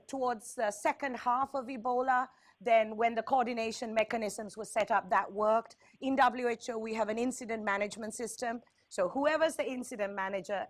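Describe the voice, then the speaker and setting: A woman with a Sri Lankan accent presenting at a conference, candid and wry, stressing points with repetition and checking in with short tag questions. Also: fairly high pitch. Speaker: health agency speaker, risk communication expert.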